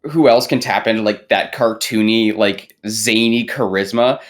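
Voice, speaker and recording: A man's voice: on the low side, fairly even intonation, moderate pace. A young man talking casually on a podcast in a slangy, emphatic way. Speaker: podcast panelist.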